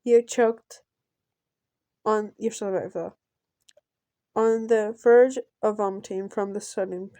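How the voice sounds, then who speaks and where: A woman reading visual novel text aloud in an amateur let's play, halting and often repeating words as she goes. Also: mid-range voice, fairly even intonation, mellow, no echo.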